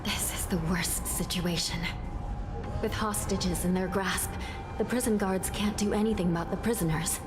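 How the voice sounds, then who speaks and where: A woman voice actor playing a grave, dutiful prison judge in a video game, speaking in a formal, measured, authoritative way. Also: mid-range voice, fairly even intonation, natural voice. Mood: grave, resolute. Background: game music.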